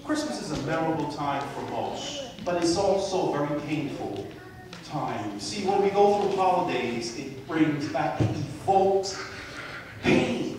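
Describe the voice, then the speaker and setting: High-voiced preacher in an echoey church. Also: male voice, sing-song, measured pace, recorded from a distance, noisy background. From Barbados. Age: 40s. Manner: storytelling preacher, swings from soft asides to loud emphatic peaks. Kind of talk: sermon. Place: church sanctuary. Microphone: handheld mic, far camera. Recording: echoey church, captured from afar.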